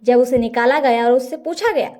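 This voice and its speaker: A woman's voice: a little high, fairly quick, dark tone. A woman narrating a scripted bedtime story as a storyteller.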